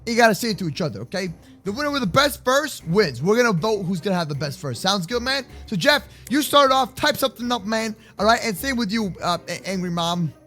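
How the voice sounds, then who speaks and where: A young man hosting a gaming video with excitable, slangy, teasing roleplay commentary. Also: sits high, a little fast. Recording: music behind the voice. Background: background music.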